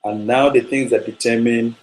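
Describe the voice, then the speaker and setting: A man from Nigeria, a football coach giving a measured talk on his coaching philosophy with frequent fillers. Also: mid-range voice, steady tone, darkish tone, poor audio.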